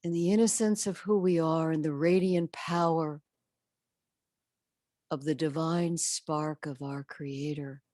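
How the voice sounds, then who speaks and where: Slow, low-voiced woman leading gentle meditation. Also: steady tone, no echo, clean studio sound. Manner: gentle, soothing, measured with long pauses. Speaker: spiritual coach leading guided meditation. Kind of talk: guided meditation and prayer.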